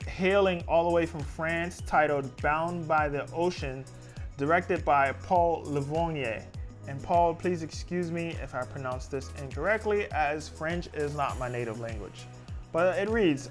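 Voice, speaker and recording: A man hosting a surf film festival guide. He reads film synopses aloud with frequent "uh" fillers and thanks each filmmaker. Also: fairly high pitch. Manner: reads blurbs with frequent "uh" fillers, apologizes for pronouncing names. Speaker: festival host presenting film submissions.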